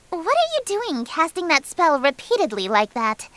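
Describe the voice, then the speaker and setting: A woman's voice: very high-pitched, wildly swooping pitch, unhurried, a bit bright, no echo, exaggerated voice. A woman voicing a haughty, theatrical female eldritch deity in an anime-style visual novel game. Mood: baffled, scolding. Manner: theatrical, domineering, grandiose.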